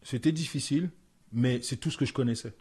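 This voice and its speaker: A man from France, a comedian guest, telling stories in a casual podcast chat with lots of quick restarts. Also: mid-range voice, slightly rough. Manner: animated storytelling, frequent stammered restarts.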